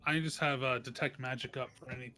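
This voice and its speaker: A man's voice: medium pitch, steady tone, fast, sharp tone. A man chatting casually as a player in a tabletop role-playing game.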